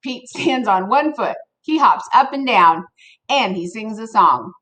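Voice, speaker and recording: A woman reading a children's story aloud like a teacher, addressing young listeners in an expressive way. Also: fairly high pitch, unhurried.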